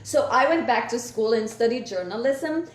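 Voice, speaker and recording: A woman's voice: on the high side, lively intonation, normal speed. A middle-aged woman from Brazil with a Brazilian accent and native Portuguese, talking with passion as an interview guest, telling her story conversationally. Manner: enthusiastic, passionate. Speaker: guest chef and cookbook author. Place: indoors on a video call. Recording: roomy, echoey video call.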